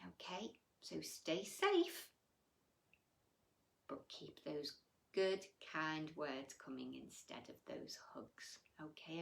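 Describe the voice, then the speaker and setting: Female children's storyteller: very animated, unhurried, gushing and affectionate, exclaiming to little listeners, in a roomy recording. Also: high voice. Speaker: children's bedtime story reader and host. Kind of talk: animated storytelling and chat addressed to young children.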